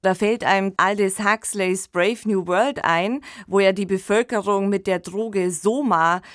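Woman cohosting a radio podcast, medium pitch, explains stuff clearly, dry close sound. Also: a little slow, no room sound. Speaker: podcast co-host. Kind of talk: conversational radio discussion of science fiction.

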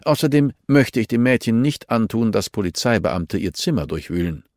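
A man's voice: fairly low pitch, big pitch swings, normal speed, darkish tone, no echo, clean studio sound. A man voicing a stern, reluctant male judge in an audiobook, speaking in a firm, admonishing way.

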